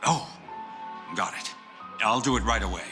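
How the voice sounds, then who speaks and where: A man voicing an anxious, deferential corporate subordinate in a video game, hesitant and flattering. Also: on the low side, moderate pace. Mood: flattering, nervous. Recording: music playing behind.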